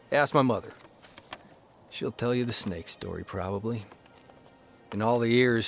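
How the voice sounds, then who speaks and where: A man playing an earnest, literate grown farm son, reading a measured, reflective memoir monologue in an audio drama. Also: on the low side, lightly acted. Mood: uneasy, confiding. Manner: measured, reflective, precise wording.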